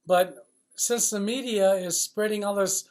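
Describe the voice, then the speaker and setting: A man with a notably high voice speaks off the cuff in a blunt, riled-up monologue. He hammers his points with repeated phrases and coarse language, halting now and then as he searches for the next words. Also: fairly even intonation, a little mellow. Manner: blunt, emphatic, profane, riled up. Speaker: loudspeaker supply industry commentator based in China. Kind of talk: unscripted opinionated monologue.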